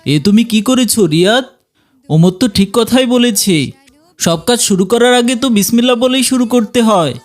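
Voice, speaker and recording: A male schoolteacher acted by a man with a notably high voice. He is strict and instructive, scolding and advising pupils in a moral children's cartoon. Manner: admonishing, instructive. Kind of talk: cartoon dialogue.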